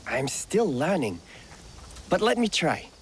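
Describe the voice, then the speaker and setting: An eager young man reading slowly and haltingly, his pitch leaping up and down from word to word. He speaks at an unhurried crawl, with faint outdoor ambience behind him. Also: sing-song, slight background noise. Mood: hesitant. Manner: halting, hesitant reading aloud.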